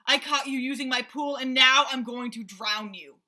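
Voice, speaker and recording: A self-pitying old male rhinoceros, read aloud by a woman, complains loudly and mournfully in a storybook. The voice sits a little high and sounds bright and clear. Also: normal speed. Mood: sorrowful. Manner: loud, weepy and complaining.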